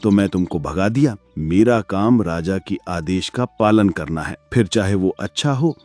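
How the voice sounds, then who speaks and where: A man's voice: low-pitched, animated, measured pace, a little mellow, no room sound, soft background music. A man voicing a loyal elephant chief minister who serves the king, in a Hindi cartoon. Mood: dutiful. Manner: dutiful, deferential to the king.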